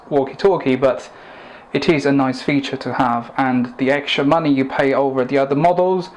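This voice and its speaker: A man giving a product review and demonstration in a measured, plain-spoken, instructive way. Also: medium pitch, slight background noise.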